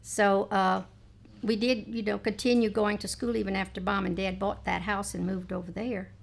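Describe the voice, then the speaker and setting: A woman in her late seventies, reminiscing in a family oral history chat, rambling with frequent pauses and fillers. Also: mid-range voice, flat delivery, creaky. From Texas, United States. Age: 70s. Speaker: interviewee, mother.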